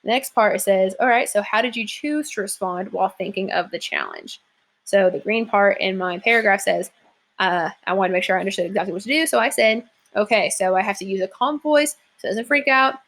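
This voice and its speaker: Casual young female teacher, relaxed filler-heavy delivery, mid-range voice, explaining an assignment. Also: mid-range voice, a little fast. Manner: casual, chatty, many "like" and "um" fillers. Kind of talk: classroom lesson walkthrough.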